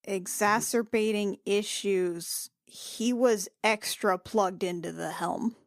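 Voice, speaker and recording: A woman playing an anxious young medic in a tabletop game, halting and stammering, repeating her words. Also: mid-range voice, dry recording, studio quality. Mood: urgent insistence. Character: rattled, anxious young woman medic and ship's crew member.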